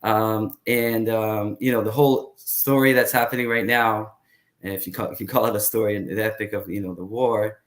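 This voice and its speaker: Hesitant man with low voice. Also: steady tone, moderate pace. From Israel. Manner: hesitant, many fillers. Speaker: guest, tour guide. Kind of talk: casual interview talk.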